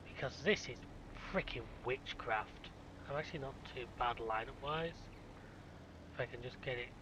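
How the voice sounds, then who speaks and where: A man's voice: mid-range voice, moderate pace. A man with a blocked nose from a cold, casually commenting on a farming game and grumbling at it as he plays. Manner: grumbling, self-mocking muttering at the game. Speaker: gaming YouTuber. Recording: game sounds and vehicle noise behind. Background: farming game vehicle noise.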